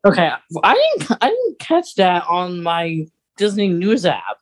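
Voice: medium pitch, very animated, unhurried. A podcast co-host chatting casually in a hesitant, rambling way. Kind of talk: casual chat.

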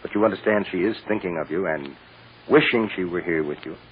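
A man's voice: deep voice, a little fast, close to an everyday voice. An actor playing a kindly, fatherly defense lawyer in an old radio drama. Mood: gentle. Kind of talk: 1940s-50s radio drama acting. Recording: muffled, noisy old radio recording.

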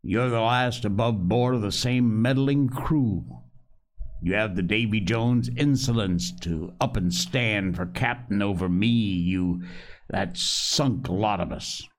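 A man voicing a cunning, commanding older male pirate captain with rough sailor talk and scornful manner. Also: lowish voice, slow pace. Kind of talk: audiobook character reading.

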